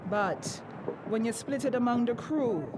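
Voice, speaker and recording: A woman's voice: on the high side, expressive, a little slow, very noisy. A woman playing a shrewd, swaggering pirate captain with salty sailor's talk in a fantasy audio drama. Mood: calculating. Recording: loud ship ambience behind voice.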